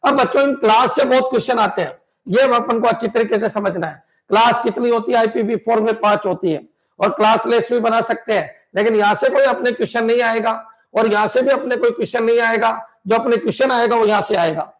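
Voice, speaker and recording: High-voiced man drilling exam students. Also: fairly quick, rough recording. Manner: repetitive, drilling, quizzes students with "clear?" and "बच्चों"; emphatic, pushes exam tips and motivation. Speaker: computer science exam coach. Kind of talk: classroom lecture to exam students.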